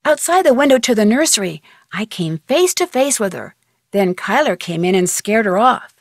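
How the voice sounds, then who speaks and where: A woman's voice: mid-range voice, sing-song, moderate pace, no room sound, clean studio sound. A young woman voicing an inquisitive, plucky amateur detective in a video game, talking conversationally as if on the phone. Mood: concerned, puzzled.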